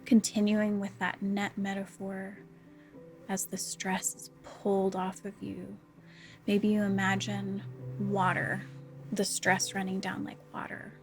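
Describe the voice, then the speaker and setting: A woman leading a guided meditation, speaking in a soft, calm, unhurried and soothing way. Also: mid-range voice, gravelly. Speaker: counselor guiding a body scan meditation.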